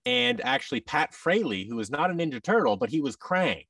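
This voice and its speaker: A man in his thirties with an American accent, chatting casually in an interview with halting, restarted phrases. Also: a little high, huge pitch swings, gravelly, fairly bright tone, breathy edge, dry recording, distant mic. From United States. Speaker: guest, voice actor and audio drama producer. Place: large office room, indoors. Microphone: headset with boom-arm microphone on a video call.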